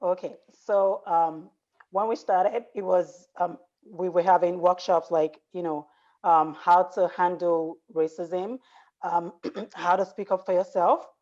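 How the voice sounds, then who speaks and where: Earnest low-voiced woman on a dull line. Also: steady tone, slow pace, darkish tone. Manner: earnest, many "um" fillers. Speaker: guest, foundation founder. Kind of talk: personal story in an interview.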